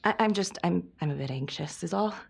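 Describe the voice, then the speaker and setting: A weary female detective in a cinematic game. She sounds anxious yet wry, in a lowish voice with plenty of creak. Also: brisk, soft background music, full character voice. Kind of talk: cinematic video game acting.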